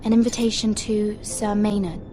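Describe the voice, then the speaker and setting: An actress playing a careful young noblewoman in a fantasy game, polite and gentle, with music behind. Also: mid-range voice, level tone, moderate pace, a little hiss or hum, natural voice. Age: young adult. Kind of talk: dramatic video game acting.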